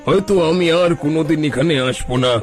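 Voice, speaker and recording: A man playing a high-pitched sly fake holy man. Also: quick pace, lightly acted. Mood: ingratiating. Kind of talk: Bengali cartoon folktale acting. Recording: music bed behind the voice.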